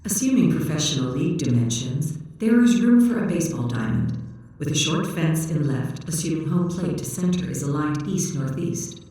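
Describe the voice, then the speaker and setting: A woman voicing an emotionless, literal-minded sci-fi AI computer, flat and precise, in a narrated story. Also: low-pitched, moderate pace, heavy reverb, distant mic, low-quality recording. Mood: flat, informative.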